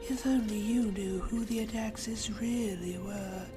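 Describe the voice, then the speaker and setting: A woman's voice: medium pitch, fairly even intonation, music in the background, lightly acted. A woman playing a gloating, sadistic villainous jailer in an audio drama, savoring her words and dropping into whispers. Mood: taunting, relishing. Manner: slow, savoring, breathy whispers at phrase ends.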